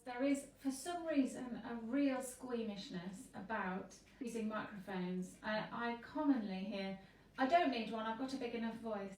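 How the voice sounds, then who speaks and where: A woman vicar hosting an interview, chatty and lively, quick to laugh. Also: on the high side, echoey room, recorded from a distance, poor audio. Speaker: vicar and host.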